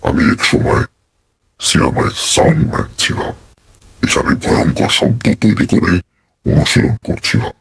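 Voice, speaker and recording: A man playing a frightened, lonely former soundman in a cartoon drama, with a gravelly, breathy voice. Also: low-pitched, wildly swooping pitch, normal speed, fairly bright tone, no echo, heavily acted. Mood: confessing.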